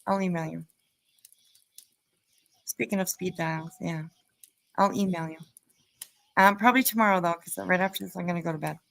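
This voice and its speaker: A woman talks as the host of a casual livestream, her voice full of gravelly creak. She rambles along chattily and speaks affectionately to the people watching. The sound is dry and close, with hardly any room to it. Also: on the low side, moderate pace, pauses often, fairly bright tone, dry recording. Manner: affectionate toward viewers.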